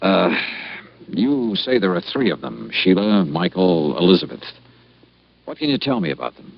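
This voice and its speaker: A skeptical, hard-nosed investigator in an old radio detective drama. His delivery is wry and clipped, swinging widely in pitch with a creaky edge. The sound is muffled vintage radio. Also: big pitch swings, normal speed, exaggerated voice. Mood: wry, subdued. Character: wry, tough freelance insurance investigator, narrating his case. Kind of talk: 1950s radio detective drama, dialogue and narration. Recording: dull old radio recording, music behind.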